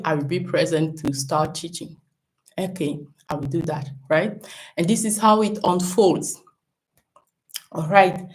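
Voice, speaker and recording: A woman's voice: on the low side, a little mellow. A woman from Cameroon, working as a business coach, talks to viewers in a live stream at home, encouraging them and thinking aloud with frequent "right?" and "um".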